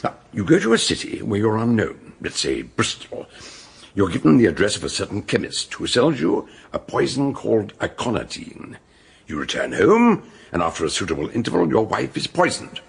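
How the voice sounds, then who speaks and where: An actor playing a pompous, eccentric older English doctor-sleuth in a radio drama, with a dramatic, declamatory British delivery. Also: male voice, lowish voice, very animated, normal speed, vocal fry, slightly rough, exaggerated voice. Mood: instructive, dramatic.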